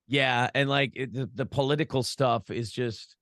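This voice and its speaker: A man's voice: mid-range voice, steady tone, moderate pace, fairly bright tone, no echo, studio quality. An American man chatting casually and profanely as an interview guest, a broadcaster who tells stories by acting out imagined quotes. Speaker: podcast host being interviewed, former radio broadcaster.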